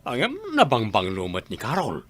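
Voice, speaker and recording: A man's voice: on the low side, huge pitch swings, normal speed, fairly bright tone, heavily acted. A man playing a flippant, irresponsible young husband in a radio drama, swaggering and dismissive. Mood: teasing, crude.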